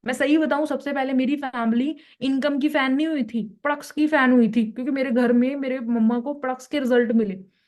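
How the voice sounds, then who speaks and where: A young woman with a higher-than-average voice gives a persuasive team pep talk. Her throat is slightly sore, and her delivery is chatty and lively, with English phrases dropped in along the way. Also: brisk. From Punjab, India. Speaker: team leader giving a training session. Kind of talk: motivational sales talk.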